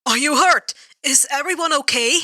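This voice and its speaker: A woman's voice: high voice, moderate pace, sharp tone, dry recording. A woman storyteller acts a young anime hero, a kind and anxious boyish man. He speaks earnestly with nervous hesitation, close and dry. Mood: worried.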